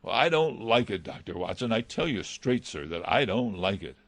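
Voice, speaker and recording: A man's voice: on the low side, sing-song, normal speed, no room sound. A man playing an anxious, deferential butler in a radio mystery drama, earnest and hesitant. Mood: uneasy, deferential. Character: anxious male butler, deferential, dreading villainy.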